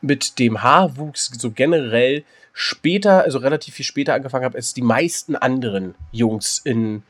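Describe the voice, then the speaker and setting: A man co-hosting a casual comedy podcast, joking and animated, often restarting his sentences. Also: mid-range voice, normal speed. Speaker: podcast co-host.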